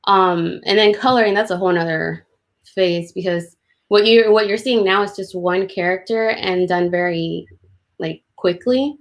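A woman's voice: on the low side, moderate pace. A woman illustrator giving informal, self-deprecating commentary on a drawing demo, explaining as she goes on a video call.

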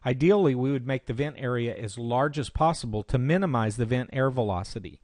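A man narrates an instructional screencast in a medium-pitched voice. He explains things step by step, like a presenter walking a learner through software. The sound is dry, with very little room. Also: brisk, no room sound.

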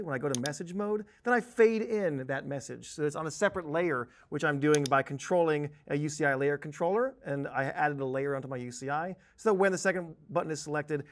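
A man in his thirties presenting a software tutorial in an animated, conversational, emphatic manner. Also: on the high side, a little hiss or hum. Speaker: software trainer. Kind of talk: tutorial presentation with on-screen demonstration. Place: indoor presentation studio at a desk.

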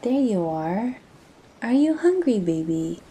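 A woman's voice: on the high side, sing-song, slow, noisy background. A woman playing a bold, teasing pirate captain in an audio roleplay, speaking in a cocky, flirtatious manner. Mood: affectionate. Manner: cocky, flirtatious, addressing listener with pet names.

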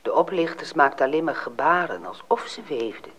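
A man narrating a fairy tale as a dramatic storyteller, with a husky voice. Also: fairly high pitch, expressive, fairly quick, a bit bright, a little hiss or hum.

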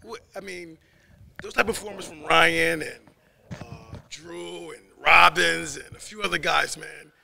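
A man with a high, very gravelly voice asks a coach casual questions after a game. He stops and starts, with fillers between his words. His tone is sharp and bright. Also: fairly even intonation, measured pace. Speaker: sports interviewer. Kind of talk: post-game interview questions.

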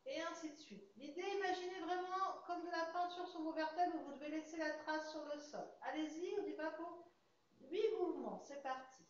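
A young woman with an English accent leading a Pilates class, calmly calling out breaths as she demonstrates, recorded from a far camera in a large studio. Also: extremely high pitch, normal speed. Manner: calm, counts breaths while demonstrating. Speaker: Pilates instructor. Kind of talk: guided exercise class. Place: large fitness studio with hard floor, indoors. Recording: echoey studio, dull sound, faint hiss.

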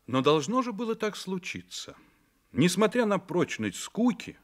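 A man narrating a literary radio drama in a measured, storytelling manner. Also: on the high side.

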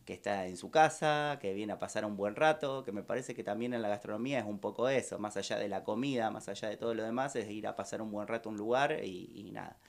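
An Argentine man in his thirties answers a radio host's questions from a studio mic. His voice sits low and carries a gravelly fry. He talks casually and haltingly, often pausing to restart a phrase. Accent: Argentine Spanish. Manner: halting, many fillers and restarts. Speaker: bar owner guest. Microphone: studio microphone with headphones.

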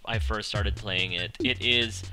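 A man hosting a Let's Play in a casual, enthusiastic way, phrasing things deliberately and with emphasis. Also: lowish voice, steady tone, bright, forceful tone. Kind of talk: casual video introduction over game music. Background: video game music.

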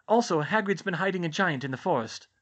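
A woman's voice: on the low side, expressive, fast, muffled recording, pitched above the performer's own voice. A woman voicing an anxious, earnest and insistent teenage girl witch in a storybook reading. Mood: subdued, earnest. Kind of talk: audiobook-style character dialogue.

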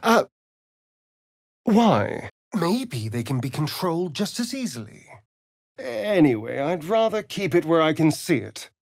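Flamboyant british vampire for a video game, vain and sardonic, breathy, very lively pitch, dry close sound. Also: male voice, measured pace, pauses often, no room sound, exaggerated voice. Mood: wary, musing. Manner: arch, drawling, flamboyant. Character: vain, sardonic, immortal male vampire rogue. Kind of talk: theatrical fantasy video game acting.

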